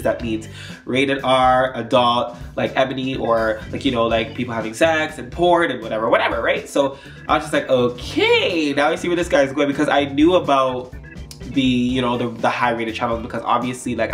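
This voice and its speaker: A man's voice: medium pitch, a bit bright. A young man telling a storytime vlog in a rambling, chatty way with lots of fillers, his nose stuffed up. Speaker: YouTuber.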